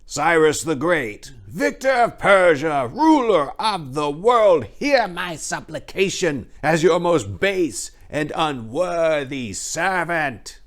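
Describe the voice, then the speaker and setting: A villainous high priest acted by a male narrator in a dramatic storybook reading. He speaks in a raised, stretched-out voice, fawning with false humility that masks contempt. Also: sing-song. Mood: mock reverence. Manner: slow, mock-reverent, obsequious address. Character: scheming high priest feigning humble reverence, hiding disdain.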